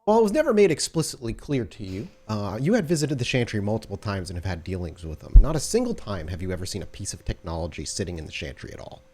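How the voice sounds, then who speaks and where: Casting: man as tabletop storyteller, fairly low voice, conversational scene-setting and prompts to players. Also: very animated, fast talker, hardly any pauses, a little mellow. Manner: descriptive, conversational. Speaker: game master. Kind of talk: improvised tabletop game narration.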